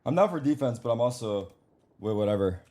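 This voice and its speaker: Young male streamer, mid-pitched, relaxed and profane, chatting over voice comms. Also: fairly quick, noisy background. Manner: casual, swears a lot. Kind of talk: casual chat while gaming.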